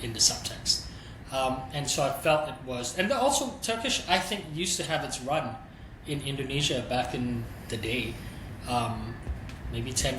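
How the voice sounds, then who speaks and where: A man of middle pitch talks through business questions candidly, working out his thoughts aloud. The recording has a roomy echo and a clearly audible background hum. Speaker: streaming executive guest. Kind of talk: conversational interview answers.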